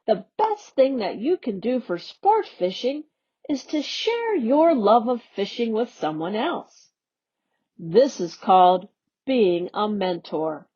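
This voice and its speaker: A woman on an education staff reading a youth newsletter aloud in a slow, expressive storybook manner. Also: fairly high pitch, breathy edge. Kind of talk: read-aloud for young learners. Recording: dull lo-fi audio.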